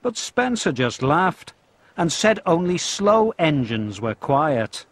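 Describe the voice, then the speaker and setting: A man narrating a children's storybook game in a deliberate, expressive storytelling style, as scripted narration. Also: on the high side. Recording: music playing behind the voice. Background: background music.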